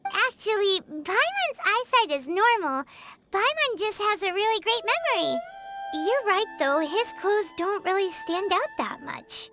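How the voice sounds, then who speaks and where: Tiny chirpy fairy-like companion, very high voice, unhurried, eager, childlike, video game. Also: female voice, big pitch swings, bright, forceful tone, a little hiss or hum, full character voice. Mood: matter-of-fact, chirpy. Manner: speaks of herself in the third person. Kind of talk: animated game character acting. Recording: game dub over clear background music.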